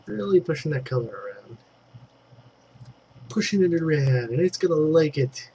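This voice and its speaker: A man's voice: on the high side, lively intonation, normal speed, warm tone, slight background noise. A man with a congested throat giving a casual digital painting tutorial, thinking aloud as he works and dropping in fillers. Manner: chatty, thinks aloud while working, trailing fillers.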